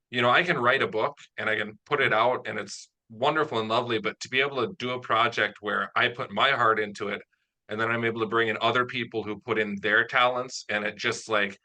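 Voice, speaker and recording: A man's voice: fairly low pitch, sharp tone, low-quality recording. A man interviewing a guest in an informal, complimentary way, talking as a writer and producer. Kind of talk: casual interview chat.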